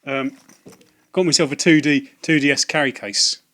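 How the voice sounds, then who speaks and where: A slightly hoarse man, talking casually to camera about video game purchases, hesitant with many ums and restarts. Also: medium pitch, steady tone, moderate pace, a bit bright. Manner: hesitant, lots of ums and restarts, wry asides. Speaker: video game collecting vlogger. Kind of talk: casual rambling vlog to camera.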